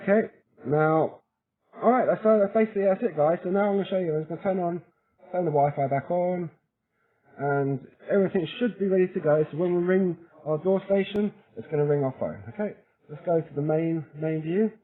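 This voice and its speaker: A man's voice: fairly high pitch, brisk. Man giving casual how-to, thin phone-like sound. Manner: informal, chatty, often checks in with listeners. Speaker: tutorial video presenter. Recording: thin, phone-like narrow-band audio.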